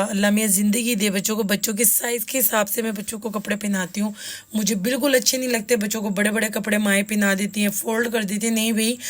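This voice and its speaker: Hoarse chatty woman. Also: medium pitch. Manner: chatty, addresses viewers with frequent "ji", opinionated tips. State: hoarse, sore throat. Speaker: vlogger, mother. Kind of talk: casual shopping vlog voice-over.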